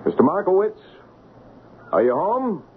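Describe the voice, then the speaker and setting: An actor playing a smug, persuasive American swindler in a radio drama, coaxing in a conversational way. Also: male voice, high-pitched, very animated, unhurried. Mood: affable. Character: fast-talking male swindler, smug, persuasive schemer. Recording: tinny, noisy old radio broadcast.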